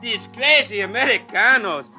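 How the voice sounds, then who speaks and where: A superstitious Mexican hotel worker in a vintage radio play. His voice is very high and piercingly bright, with lively swings and faint music in the recording. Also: moderate pace, exaggerated voice. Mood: amazed. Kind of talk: 1950s radio drama acting.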